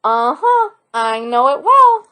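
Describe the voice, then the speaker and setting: A woman voicing a very old male sea turtle in a storybook, calm and pleased, speaking slowly and deliberately. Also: high voice, full character voice. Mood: pleased, thoughtful.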